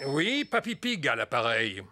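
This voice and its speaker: Creaky, jolly old grandpa pig. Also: male voice, medium pitch, very animated, unhurried, heavy background noise. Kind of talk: children's cartoon dubbing.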